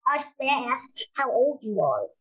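Woman, late 20s, high breathy voice, curious interviewer. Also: wildly swooping pitch, moderate pace, fairly bright tone. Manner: curious, asks questions, agreeable. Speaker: host. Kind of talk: casual interview.

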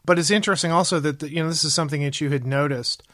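A man's voice: fairly high pitch, racing, a bit bright, no echo. Dry-sounding male podcast host. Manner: rambling, hesitant questions with fillers. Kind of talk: podcast interview.

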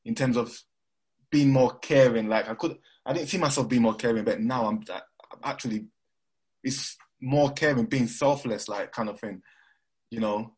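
A man's voice: medium pitch, normal speed, darkish tone, breathy edge. A young man from Nigeria with a mixed London and Nigerian accent, chatting casually as an interview guest, rambling with lots of fillers and restarts.

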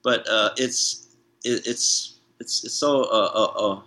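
Bright-voiced laid-back tattoo artist, man. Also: lowish voice, slow. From Hawaii, raised in San Diego. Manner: relaxed, rambling, many restarts and "you know". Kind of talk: casual interview chat.

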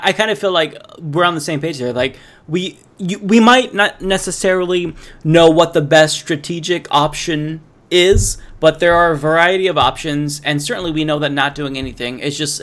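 A young man hosting a casual political podcast interview, earnest and rambling, his voice going hoarse. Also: a little high, normal speed, few pauses, fairly bright tone. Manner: earnest, rambling, laid-back. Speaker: podcast host.

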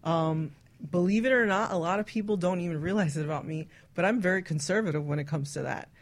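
A woman's voice: lowish voice, fast. A woman hosting a radio talk show, chatting casually and candidly and reading out listener stories.

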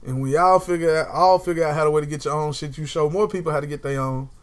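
A man's voice: a little high, fast talker. A young man in casual conversation, talking emphatically and repeating phrases to drive a point home. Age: young adult.

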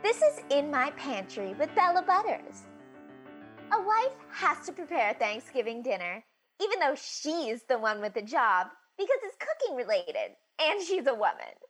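A woman's voice: sits high, very animated, normal speed, faint music behind. A woman playing a bitter, scheming housewife who hosts a cooking show in a comedy radio play, mock-cheerful and sardonic. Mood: sardonic, perky.

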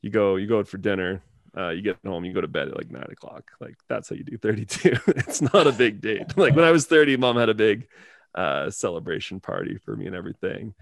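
A man's voice: on the low side, a little fast, vocal fry, dry recording, studio quality. A man in his thirties hosting a casual podcast, rambling with lots of fillers and easy laughs. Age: 30s. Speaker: podcast host.

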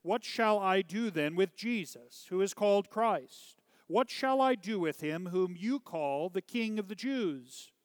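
A man voicing a troubled, pleading Roman governor in a dramatized scripture story, declamatory and deliberate. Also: on the high side, clear, resonant tone, no room sound, long reverb tail, natural voice. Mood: pleading, insistent. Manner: emphatic, deliberate, declamatory questioning.

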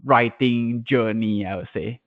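A man's voice: medium pitch, measured pace, dry recording. Man, native Mandarin speaker, tinny call audio. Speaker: podcast guest, content manager and blogger. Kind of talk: casual interview answers. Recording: tinny, band-limited internet call audio.

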